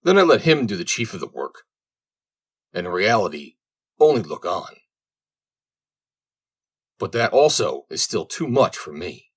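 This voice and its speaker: A man voicing a languid, calculating work-shy servant in a storybook, talking in an unhurried way. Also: on the low side, big pitch swings, breathy edge, heavily acted.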